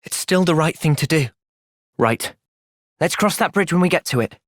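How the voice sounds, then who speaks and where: An actor voicing an earnest, thoughtful young male soldier and leader in a video game, with an English accent and a measured delivery. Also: fairly high pitch, lively intonation, no room sound, clean studio sound, lightly acted. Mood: solemn, resolute. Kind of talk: video game cutscene acting.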